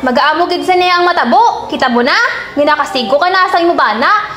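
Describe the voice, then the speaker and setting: A woman's voice: high-pitched, expressive, quick pace, a bit bright. A woman playing a worried, protective, scolding mother in an amateur radio drama, with a Western Visayan accent. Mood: indignant. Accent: Western Visayan Philippine. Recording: roomy echo with faint noise.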